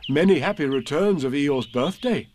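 A man voicing a gentle, simple-minded male bear who talks earnestly, in a storybook read-aloud. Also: a little high, expressive, moderate pace, a little hiss or hum, voice pushed up, natural voice. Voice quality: earnest, soft-spoken.

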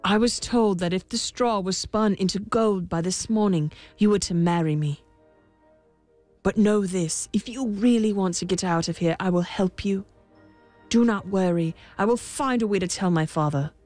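A gentle young prince who reassures softly in a dry, close voice, as a woman storyteller puts him on. He is kind and calm throughout. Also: mid-range voice, normal speed, no room sound, soft background music, lightly acted.

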